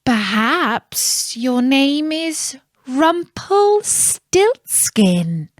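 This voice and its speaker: A woman's voice: high-pitched, very animated, drawn-out words, dry recording, clean studio sound, pitched above the performer's own voice, full character voice. A woman voicing an anxious young queen in a children's storybook, with a questioning delivery. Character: young queen anxiously guessing a secret name.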